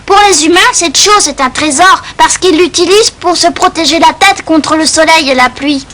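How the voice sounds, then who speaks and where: A woman's voice: very high voice, moderate pace, slight background noise. A woman voicing an earnest, stubborn and caring young lion cub in a French cartoon dub. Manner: earnest, insistent. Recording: loud music under the voice.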